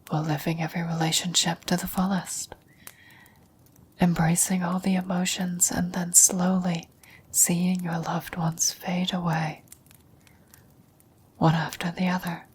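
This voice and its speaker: A woman voicing a vampire's dying former lover in an ASMR roleplay, a wistful, knowing woman who whispers in a breathy, solemn, deliberate way. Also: on the low side, a little mellow, a little hiss or hum. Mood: tender, resigned.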